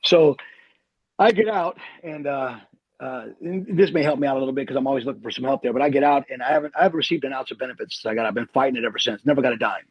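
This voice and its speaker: A middle-aged man, an Army veteran, telling long rambling stories in an animated way as a podcast guest. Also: mid-range voice, rapid-fire, breathy edge, no echo, muffled recording. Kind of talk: storytelling interview.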